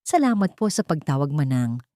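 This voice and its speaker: A woman narrator acts out a devoted Filipino woman caregiver. She is earnest and soft, holding back emotion, in a dryly recorded audio love story. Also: low voice, sing-song, moderate pace, no echo, studio quality. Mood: restrained sorrow.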